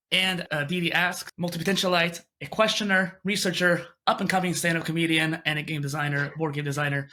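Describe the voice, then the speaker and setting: A man's voice: on the high side, pushed and bright. A man hosting a podcast interview, conversational, encouraging and affirming. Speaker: podcast host.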